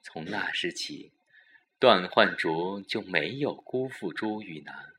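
Low, very intense male narrator. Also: animated, slow, sharp tone. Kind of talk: dramatic scripted narration.

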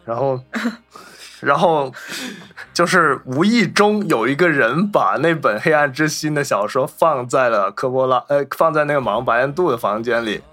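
A man's voice: medium pitch, lively intonation, moderate pace, sharp tone, faint music behind. A man hosting a podcast, casually telling film anecdotes and acting out the remarks he reports. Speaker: podcast host.

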